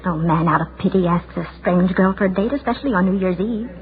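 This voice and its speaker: A woman's voice: fairly low pitch, fast, crowd noise, natural voice. Actress as anxious lonely woman on tinny old radio. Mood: self-pitying. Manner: agitated self-questioning, arguing with herself. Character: lonely anxious woman's inner voice, torn and self-doubting. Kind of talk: 1940s radio drama acting. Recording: tinny band-limited vintage radio recording, loud music.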